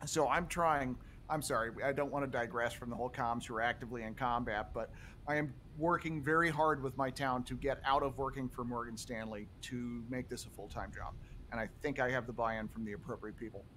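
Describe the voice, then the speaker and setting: A man's voice: medium pitch, steady tone, a little fast, fairly bright tone. Man, casual voice chat, self-deprecating, headset mic, faint noise. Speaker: emergency services officer.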